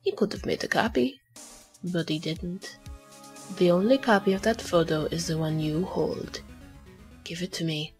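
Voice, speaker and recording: A woman voicing a cool, condescending female blackmailer with a deliberate, taunting delivery in an amateur game fandub. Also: fairly low pitch, darkish tone, soft background music, natural voice. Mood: sly, commanding.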